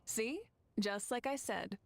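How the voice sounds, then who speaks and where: A woman playing a calm, confident, reassuring warrior leader in a video game, with composed, measured delivery. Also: fairly high pitch, gravelly. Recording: background music under dialogue.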